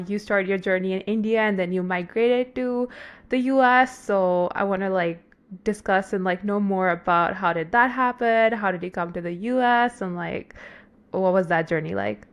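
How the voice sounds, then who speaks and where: A woman's voice: mid-range voice, moderate pace. Upbeat woman podcast host. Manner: upbeat, filler-heavy questions. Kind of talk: casual podcast interview.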